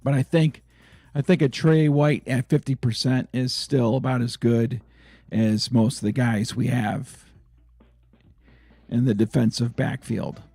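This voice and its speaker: A hoarse man hosting a sports fan channel, chatting casually and excitedly about his team's week. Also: medium pitch, unhurried, no room sound. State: hoarse, raspy voice. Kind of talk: casual fan vlog chat.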